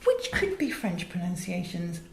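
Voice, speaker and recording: Interview guest: British woman, lower register, articulate and emphatic storyteller, roomy recording. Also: very animated, measured pace, creaky. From England. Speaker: interview guest, audio director and producer. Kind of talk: animated conversational storytelling in an interview. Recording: roomy, echoing interview sound.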